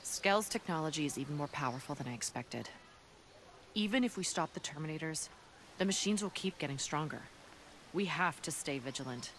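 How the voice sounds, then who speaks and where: Higher-voiced earnest resistance fighter. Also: male voice, lively intonation, a little fast, very noisy. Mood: grave. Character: determined, resourceful male resistance fighter. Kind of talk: dramatic video game cutscene acting.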